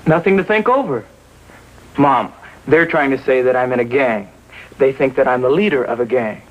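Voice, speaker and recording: A man's voice: mid-range voice, normal speed, dull audio, lightly acted. An actor playing a surly, evasive teenage boy in 1950s TV drama style, sullen and dismissive. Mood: defiant.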